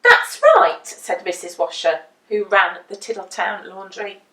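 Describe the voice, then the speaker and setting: A woman telling a children's story in an expressive, deliberate way with dramatic emphasis. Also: medium pitch. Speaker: storyteller reading a children's book. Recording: roomy echo, distant microphone.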